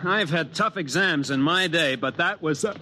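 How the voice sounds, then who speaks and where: A man's voice: fairly high pitch, normal speed, sharp tone. A man playing a dry, mock-lofty young college student with a head cold, in radio drama style. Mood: sardonic. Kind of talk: 1950s radio drama acting. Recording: noisy vintage 1950s radio recording.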